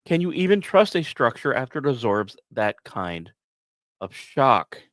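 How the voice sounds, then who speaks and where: Animated male space news podcaster, dry close sound. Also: mid-range voice, measured pace, dry recording. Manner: dramatic storytelling with conversational asides. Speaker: podcast host. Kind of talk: scripted narration of space news.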